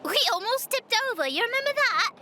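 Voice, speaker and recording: A woman's voice: very high voice, very animated, a little fast, heavy background noise. A woman playing a bold, cheerful young girl in an audio drama, breezy and teasing. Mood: excited.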